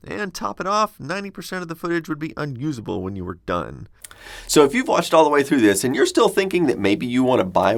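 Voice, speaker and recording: A man's voice: mid-range voice, creaky, a bit bright. A man in his forties hosting a tech video, explaining things in a conversational way. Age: 40s. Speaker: host of a retro technology video channel.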